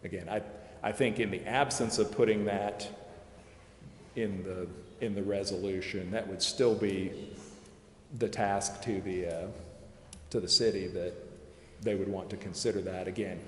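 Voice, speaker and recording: A man explaining funding options to a city committee, pausing and restarting often. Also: sits low, steady tone, fairly bright tone, a little hiss or hum. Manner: hesitant, many restarts and fillers. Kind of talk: public meeting explanation. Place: city council chamber. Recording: echoey council chamber from far off.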